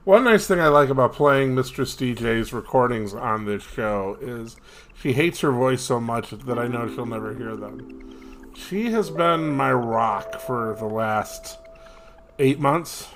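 Slow, halting male podcast host. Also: medium pitch. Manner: halting, earnest, with many fillers and restarts. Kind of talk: casual spoken podcast commentary. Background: guitar music.

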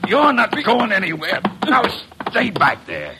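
Gruff police inspector, noisy recording. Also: male voice, high voice, wildly swooping pitch, measured pace, full character voice. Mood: commanding. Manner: blunt, commanding. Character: gruff, bossy, hard-nosed male police inspector. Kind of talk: classic radio drama acting. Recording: noisy vintage radio recording.

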